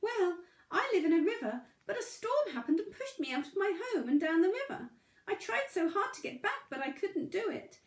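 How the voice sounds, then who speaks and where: A woman's voice: extremely high pitch, very animated, moderate pace, roomy sound, voice pushed up. A woman voicing a small, innocent, eager pebble in a children's story, earnest and wide-eyed. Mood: excited.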